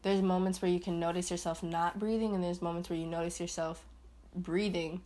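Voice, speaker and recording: Rambling, self-mocking young woman, lowish voice. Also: level tone, brisk, dark tone. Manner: chatty, rambling, laughs at herself; swings into high put-on voices. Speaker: podcast host. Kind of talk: casual solo podcast talk.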